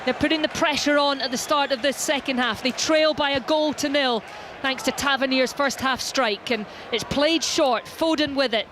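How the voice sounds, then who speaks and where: High-voiced woman calling live football. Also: normal speed. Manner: rises to shouts at goalmouth action. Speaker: play-by-play commentator. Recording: noisy background.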